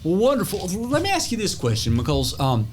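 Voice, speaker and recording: A man's voice: high voice, huge pitch swings, normal speed, darkish tone, soft background music. A man from eastern North Carolina, hosting a casual interview, folksy and chatty. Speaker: podcast host interviewer.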